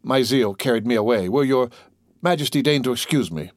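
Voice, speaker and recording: A man's voice: medium pitch, lively intonation, a little fast, no room sound, studio quality, close to an everyday voice. A man voicing an earnest, deferential magistrate addressing his king. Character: eager young male magistrate, deferential, earnest.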